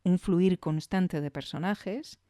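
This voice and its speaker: A woman's voice: lowish voice, slow pace, warm tone, dry recording. Woman podcast host, close dry sound. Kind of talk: scripted podcast narration with conversational asides.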